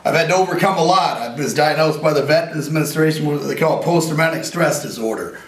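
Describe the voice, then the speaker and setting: A man in his forties with a raspy voice, an inventor talking in a casual, rambling and earnest way, sometimes joking about himself. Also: fairly high pitch, quick pace. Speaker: inventor and veteran interviewee. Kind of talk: casual interview talk. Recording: roomy echo, from a distance.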